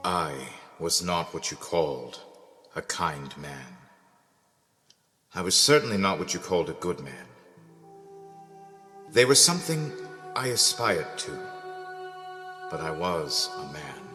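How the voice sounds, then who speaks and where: A man playing a bitter, weary ex-convict who recalls his life in a brooding, halting dramatic monologue. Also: low voice, very animated, pushed and bright, full character voice. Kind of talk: dramatic first-person audio drama monologue. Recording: long reverb under prominent music. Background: music.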